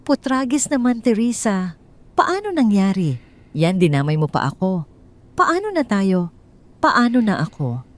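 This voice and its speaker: A woman's voice: medium pitch, very animated, measured pace, pauses often, pitched above the performer's own voice. A woman reading the lines of a selfish, panicky husband in a storybook drama, speaking in frantic, whiny questions. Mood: panicked.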